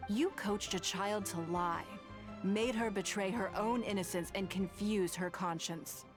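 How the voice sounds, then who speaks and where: An actress playing a composed, authoritative lady in a video game, speaking in a measured, articulate way. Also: mid-range voice, music in the background, close to an everyday voice. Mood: stern, reproachful. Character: poised, composed adult lady of authority, kindly but stern.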